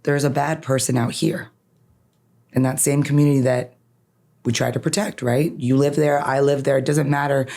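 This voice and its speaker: A woman's voice: very deep voice, little pitch movement, normal speed, dark tone. A woman attorney who grew up in Canada with Farsi as her first language, speaking earnestly and emphatically as a podcast guest. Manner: earnest, emphatic, often ends points with "right?". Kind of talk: podcast interview, personal reflection.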